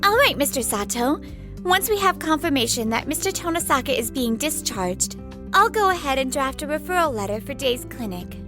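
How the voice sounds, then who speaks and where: A woman's voice: sits high, sing-song, normal speed, music in the background. A woman voicing a poised, principled, sweet young female doctor in a manga dub, speaking politely. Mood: professional, pleased. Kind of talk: animated romantic-comedy dub acting.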